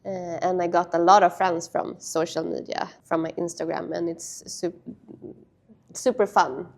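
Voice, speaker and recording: Swedish-raised woman, halting casual speech. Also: lowish voice, little pitch movement. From Sweden. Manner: halting, with many fillers and restarts. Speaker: interview guest, padel player. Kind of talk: casual interview.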